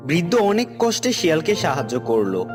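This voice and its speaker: Casting: male storybook narrator, animated rise and fall, music under. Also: on the high side, a little fast. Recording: music playing behind.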